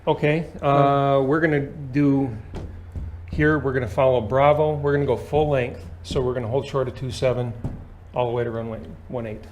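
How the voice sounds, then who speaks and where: Male flight instructor, mid-pitched, explanatory lesson delivery, punctuated with short checking questions. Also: fairly even intonation, normal speed, a little mellow, slight background noise. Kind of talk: hands-on aviation lesson.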